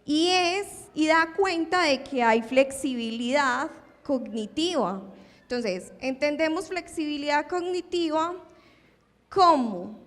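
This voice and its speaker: A woman giving a talk at an academic conference, lively and full of rhetorical questions to her audience. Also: high-pitched, slow. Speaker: lecturer. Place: lecture hall. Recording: long hall reverb.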